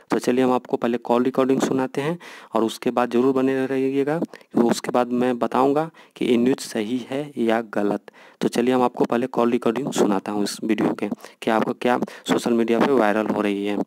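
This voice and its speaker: A man's voice: mid-range voice, darkish tone, no echo. Indian guy talking to his viewers, keeps repeating his point, dry close mic. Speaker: YouTube channel host. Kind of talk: informal explainer to viewers.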